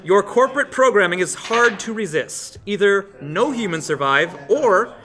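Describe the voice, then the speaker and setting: A man casually recaps a tabletop game and reads out agendas. His voice is notably high and bright, with an animated, widely moving pitch. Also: moderate pace.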